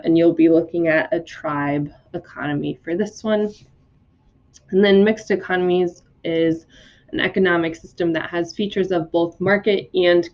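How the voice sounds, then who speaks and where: A woman's voice: deep voice, darkish tone. Woman teacher getting over a cold. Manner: casual, many ums and likes. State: recovering from a cold. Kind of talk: recorded classroom notes lecture. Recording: dull lo-fi recording.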